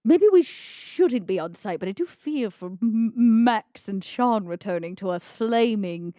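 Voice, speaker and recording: A woman's voice: mid-range voice, expressive, unhurried, dark tone, no echo, clean studio sound. A woman playing a tabletop roleplaying game, tentatively thinking aloud in casual improvised chat.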